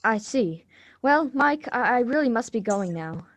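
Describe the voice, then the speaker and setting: A woman's voice: fairly high pitch, animated, a little slow, no room sound. A woman playing a polite, evasive, bookish schoolgirl in a live radio drama reading, with a hesitant, stammering delivery.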